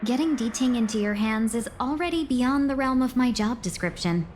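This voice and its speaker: Woman voicing a sly, high-voiced genteel guide. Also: moderate pace, darkish tone, a little hiss or hum, natural voice. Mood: coy, teasing. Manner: coy, polished, teasing. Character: sly, playful, genteel young businesswoman guide. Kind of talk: video game voice acting.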